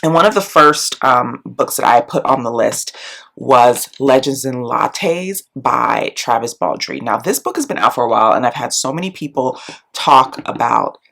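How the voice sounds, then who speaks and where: Congested woman vlogger in her thirties, gravelly with fry, rambling chat to a close camera. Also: a bass voice, lively intonation, moderate pace, a bit bright. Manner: chatty, rambling, lots of ums and likes. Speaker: beauty and book vlogger. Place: small room at home, indoors. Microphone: camera close up, face framing.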